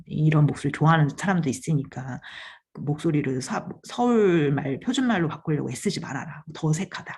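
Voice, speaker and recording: A woman's voice: low voice, darkish tone, slightly rough. A woman hosting her own channel, talking casually about podcasting, chatty, laughing often and trailing off mid-phrase. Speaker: channel host giving advice on podcasting. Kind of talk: casual talk to camera.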